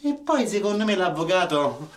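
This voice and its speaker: A man's voice: high voice, big pitch swings, moderate pace. An actor playing a naive, lovestruck, touchy man in a tragicomic radio play, with a whiny, emotional delivery. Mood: hurt, insistent. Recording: roomy, distant, faint noise.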